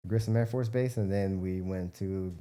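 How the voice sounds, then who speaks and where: A man's voice: deep voice, moderate pace, mellow. An American man answering interview questions in a casual, rambling, informal way as a guest. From United States, Midwest. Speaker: interview guest, biomedical researcher and entrepreneur.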